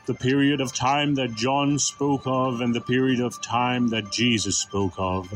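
Slow-paced solemn male Bible narrator. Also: mid-range voice, steady tone. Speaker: preacher narrator. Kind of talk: scripted Bible teaching narration. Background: music.

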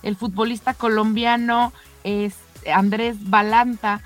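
A woman's voice: fairly high pitch, little pitch movement, slow pace. Woman co-hosting radio sports news over music. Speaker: radio show co-host. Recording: music bed under the voice.

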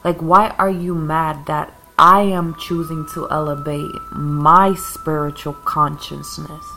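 A woman's voice: sits low, takes it slowly, vocal fry, faint music behind, low-quality recording. A woman hosting her own podcast, talking in a rambling, ranting way about spirituality and growth, now and then coughing as she smokes. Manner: rambling, ranting, self-described calming voice; occasional coughs while smoking. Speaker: podcast host. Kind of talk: casual spiritual monologue.

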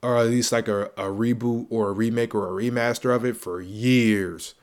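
A man's voice: mid-range voice, fairly even intonation, normal speed, darkish tone. Stuffy young man, gaming podcast host, slangy, repeats himself, hyped. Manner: slangy, repeats phrases for emphasis, hype-man energy. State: stuffy, congested. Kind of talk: casual solo gaming podcast.

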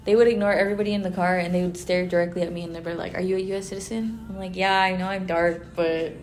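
A woman's voice: lowish voice, steady tone, racing, slight background noise. A young woman with a Tex-Mex accent whose first language was Spanish, co-hosting a casual podcast chat and slipping into Spanglish. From Rio Grande Valley, Texas. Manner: chatty, switches into Spanglish. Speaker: podcast co-host.

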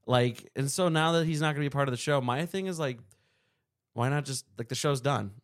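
A man's voice: mid-range voice, a little fast, no echo, clean studio sound. Rambling, laughing podcast co-host man. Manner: rambling, laughs often, restarts. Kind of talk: casual chat.

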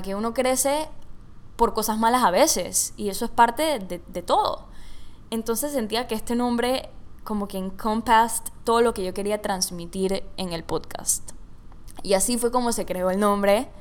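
A woman's voice: mid-range voice, smooth. A young woman from Venezuela who grew up in Panama, with a mixed Venezuelan and Panamanian Spanish accent, hosting a casual personal podcast and dropping English words into her Spanish. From Venezuela, raised in Panama. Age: young adult. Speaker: podcast host.

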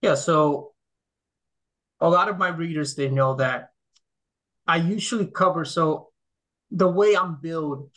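A middle-aged man from the Dominican Republic, a game critic answering interview questions in relaxed, thoughtful chat with many fillers and restarts. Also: on the high side, measured pace, long pauses, rough recording. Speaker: podcast guest, game critic. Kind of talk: casual conversational interview.

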